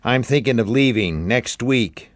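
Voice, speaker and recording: A man's voice: mid-range voice, expressive, unhurried, no echo, clean studio sound, close to an everyday voice. A man voicing a subdued, uneasy, discontented man in a dramatic story reading. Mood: quietly resolved. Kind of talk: dramatic audiobook character voice.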